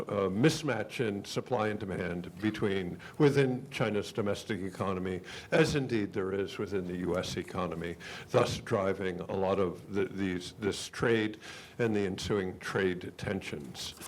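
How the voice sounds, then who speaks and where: A man's voice: lowish voice, measured pace. A man speaking as a guest on a panel, giving analysis with frequent hesitations and fillers. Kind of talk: panel discussion answer.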